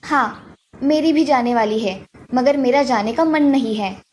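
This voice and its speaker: A woman's voice: sits high, unhurried, a little mellow, slight background noise. A woman voicing a caring mother and her college-age daughter in a dramatised cartoon story. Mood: sulky.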